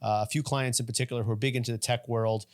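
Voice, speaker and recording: A man's voice: mid-range voice, steady tone, rapid-fire, darkish tone. A man hosting a fitness podcast, asking enthusiastic, rambling questions with fillers and restarts. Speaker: podcast host and fitness coach. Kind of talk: podcast interview.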